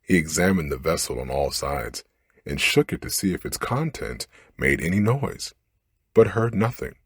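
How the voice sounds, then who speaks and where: A man with a low, very breathy voice narrates a bedtime story in ASMR style. His reading is expressive, with wide melodic movement. It is recorded close to the microphone. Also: normal speed, dry recording.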